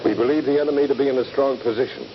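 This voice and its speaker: An actor playing a stern Union army captain in a 1970s radio drama, speaking with formal, commanding military phrasing. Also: male voice, mid-range voice, fairly even intonation, very fast, natural voice. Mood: grave, commanding. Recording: muffled, noisy old radio broadcast.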